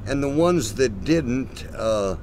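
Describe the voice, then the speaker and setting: A man giving casual interview answers, halting and often pausing in the middle of a phrase. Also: animated, a little mellow. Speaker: interview guest. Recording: noisy location sound with vehicle rumble.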